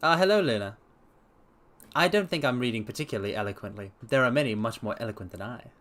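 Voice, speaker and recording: A man giving an expressive dramatic reading of a story to viewers, with casual asides. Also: medium pitch, quick pace. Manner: expressive, animated.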